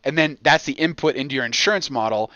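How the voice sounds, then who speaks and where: A man's voice: medium pitch, fast talker, dry recording, dull audio. A man hosting a podcast, rambling casually through stories, acting out imagined quotes and swearing now and then. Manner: animated storyteller who acts out imagined quotes, swears casually. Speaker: podcast host.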